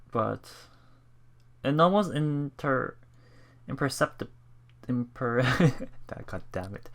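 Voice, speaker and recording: Gravelly-voiced man reading a game aloud. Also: medium pitch, fairly bright tone. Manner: halting, thinking aloud between read passages. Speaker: let's play host. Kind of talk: reading visual novel text aloud with commentary.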